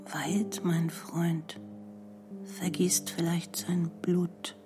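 A low, hushed, pensive woman. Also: flat delivery. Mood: hushed, poetic. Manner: hushed, measured, introspective. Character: pensive woman narrator recalling childhood and lost love. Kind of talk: literary radio play monologue. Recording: music under the voice.

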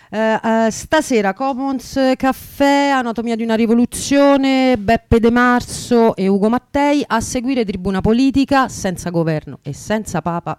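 A woman's voice: fairly high pitch, a little mellow, clear, resonant tone, dry recording, clean studio sound. A woman co-hosting a radio show, announcing events in an informal, colloquial, chatty way.

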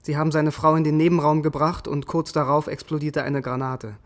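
An actor playing a hardened, vengeful German special forces sergeant in a radio drama, grimly controlled and sardonic. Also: male voice, on the high side, level tone, a little fast, lightly acted. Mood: grim, matter-of-fact. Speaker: soldier narrating his story.

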